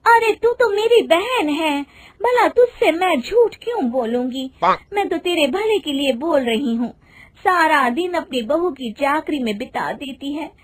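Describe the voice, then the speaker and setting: A woman voicing a scheming, meddlesome older village aunt in an animated story, coaxing and needling as she stirs up trouble. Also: high voice, voice pushed up.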